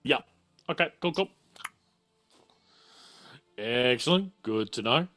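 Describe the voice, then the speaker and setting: A man running a tabletop game as game master, casual and easygoing, talking in halting bursts. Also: medium pitch, animated, pushed and bright. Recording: faint music behind. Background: faint music.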